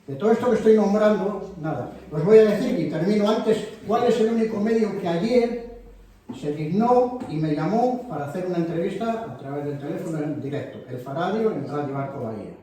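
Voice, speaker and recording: Man, event organizer, mellow tone, rambling, indignant, distant mic, echoey hall. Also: sits high, a little fast. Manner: animated, rambling, indignant asides. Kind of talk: addressing a live audience. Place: meeting hall.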